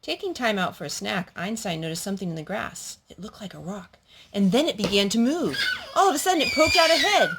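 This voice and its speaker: Female, mid-pitched, expressive mother reading and singing for little children. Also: a little fast, noisy background. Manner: expressive, speaking to small children with pet names. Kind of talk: sing-song children's storytime with read-aloud and songs.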